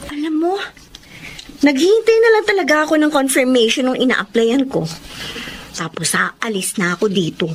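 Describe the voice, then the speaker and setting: Very high-voiced young office woman. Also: animated, normal speed, a little hiss or hum. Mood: indignant. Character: caring, outspoken young woman office employee. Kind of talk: radio drama acting.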